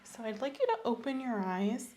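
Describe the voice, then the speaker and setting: A woman doing an ASMR medical exam roleplay as a doctor, speaking softly and sometimes breathily, gently instructing and reassuring. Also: fairly high pitch, sing-song, moderate pace, rough recording. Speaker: ASMR creator playing a doctor.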